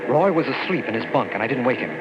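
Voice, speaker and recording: An actor playing an earnest young army lieutenant and scientist who recounts events dramatically in an old-time radio drama. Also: male voice, mid-range voice, expressive, quick pace, very noisy, close to an everyday voice. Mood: haunted, suspenseful. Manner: earnest, dramatic, suspenseful storytelling. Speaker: narrator and lead character. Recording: muffled vintage radio recording, loud music.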